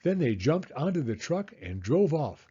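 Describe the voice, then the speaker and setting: A man reads a picture book to children with lively, swinging intonation. His voice sits a little high for a man and has a mellow tone. The recording is slightly dull. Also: moderate pace. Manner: expressive, clearly addressing young children. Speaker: storyteller, former school teacher. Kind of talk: animated children's picture book read-aloud.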